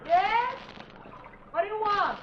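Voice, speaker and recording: An actress playing a brassy, hostile young wife, curt and wisecracking, in 1940s radio style. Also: female voice, very high-pitched, expressive, takes it slowly, fairly bright tone, full character voice. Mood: wary. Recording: muffled, hissy 1940s radio recording.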